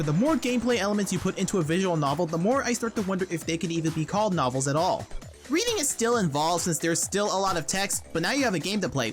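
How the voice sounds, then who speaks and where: Casting: irreverent video essayist, animated and fast-talking, scripted comedic narration over light music. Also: mid-range voice. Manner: wry, irreverent. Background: background music.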